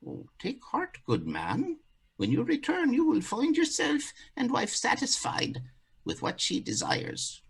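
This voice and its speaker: A man's voice: very high voice, huge pitch swings, a little slow, gravelly, a bit bright, husky, voice pushed up, exaggerated voice. A man voicing a sweet, soothing female golden fish with magic powers in a folktale play.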